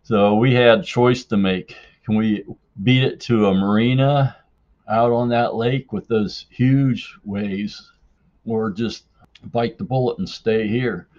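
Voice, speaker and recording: A man telling a personal story casually in an unhurried, folksy way, as in a listener's voicemail. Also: fairly low pitch, steady tone, a little mellow. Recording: dull, lo-fi voicemail-like sound.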